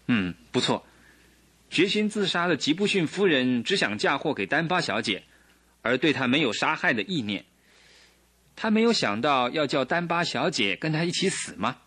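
An inquisitive, husky, higher-voiced questioner. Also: male voice, very animated, moderate pace, sharp tone, heavily acted. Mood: curious. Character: inquisitive, admiring man questioning a detective. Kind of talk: Chinese radio drama acting.

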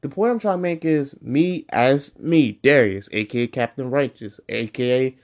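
A man's voice: medium pitch, normal speed. Casting note: young male podcast host in his twenties, loose, meandering solo chat full of "um" and "like", mid-range voice. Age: 20s. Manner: rambling, full of fillers and self-corrections. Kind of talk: casual solo podcast monologue.

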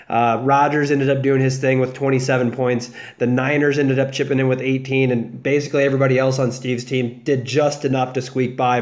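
A young American man hosting a casual solo fantasy football podcast, chatting loosely with fillers and restarts. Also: mid-range voice. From United States, south of the Chicago area. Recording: dull lo-fi podcast audio.

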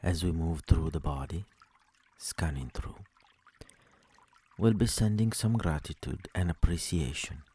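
A man leading a guided sleep meditation, speaking slowly and soothingly with long pauses between phrases. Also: deep voice, lively intonation, mellow, husky.

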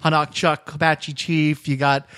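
A man's voice: a little high, level tone, measured pace, pushed and bright, clean tone. A man speaking as a podcast host, moving between announcements and casual interview talk. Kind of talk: casual interview and show credits.

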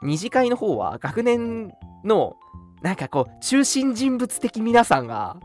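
A young man co-hosting a casual radio chat, telling stories in an animated way and acting out short quotes. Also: sits high, slow. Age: young adult. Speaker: radio show co-host. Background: background music.

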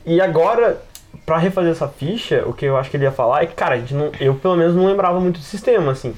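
A man's voice: a little high, expressive, rapid-fire, darkish tone. A man with a Brazilian accent chatting casually on a games podcast, rambling informally with lots of filler words. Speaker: podcast panelist.

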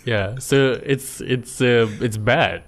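A young Malaysian man co-hosts a casual podcast chat with an animated, teasing delivery. He laughs often and speaks at an ordinary pitch for a man. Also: slow. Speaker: podcast co-host.

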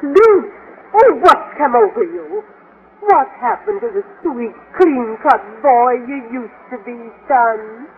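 A woman's voice: sits high, slow. An actress playing a sweet, flustered older lady in a fluttery, genteel manner in a 1950s radio sitcom. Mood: shocked, dismayed. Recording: noisy muffled old radio recording.

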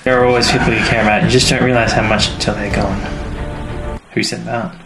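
A man's voice: fairly low pitch, fairly even intonation, fast, loud music behind the voice. A man co-hosting a podcast, chatting casually and rambling as he trails off mid-thought. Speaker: podcast co-host. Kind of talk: casual chat reviewing a TV serial. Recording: echoey room with background noise.

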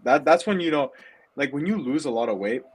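A man's voice: fairly high pitch, lively intonation, fairly quick, breathy edge, low-quality recording. A young man from Ottawa, a podcast guest, talking casually in a loose, rambling way with lots of fillers. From Ottawa, Canada. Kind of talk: casual chat.

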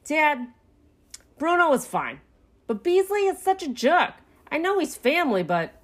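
A woman's voice: sits high, big pitch swings, unhurried, voice pushed up. A woman voicing a young male raccoon worker in a story, earnest and wary, with blunt everyday speech. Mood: complaining.

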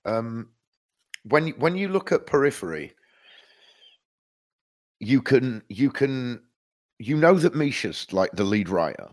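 A man's voice: medium pitch, big pitch swings, takes it slowly, pauses often. A man co-hosting a podcast, chatting casually about music, a bit stuffed up from hay fever and rambling with lots of restarts. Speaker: podcast co-host.

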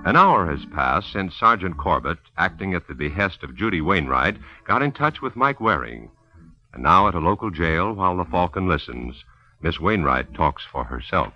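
A man's voice: sits low, sing-song, moderate pace. A male announcer delivering old-time radio advertising and narration with folksy, emphatic salesmanship. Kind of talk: 1940s-50s radio ad and narration. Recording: muffled old dry radio recording.

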